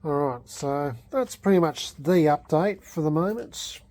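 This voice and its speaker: Mellow-voiced man, halting hobbyist vlog talk. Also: fairly high pitch, dry recording. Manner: halting, repeats and rephrases himself often. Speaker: hobbyist vlogger. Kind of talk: unscripted restoration vlog talk.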